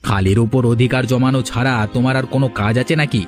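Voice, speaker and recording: A suspicious rival villager in a cartoon, curt and hostile, as a man voices him. He fires his lines off quickly in a mid-range voice. Faint music plays behind him. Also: mid-range voice, steady tone, natural voice. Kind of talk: dubbed cartoon dialogue. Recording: music in the background.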